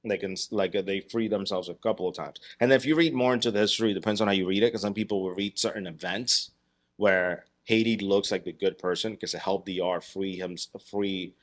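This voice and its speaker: A man in his thirties speaks in a very low voice, laying out his points slowly and at length. He talks on a podcast in a dark studio. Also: steady tone. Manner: measured, explaining at length. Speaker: podcast co-host. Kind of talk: explanatory podcast talk.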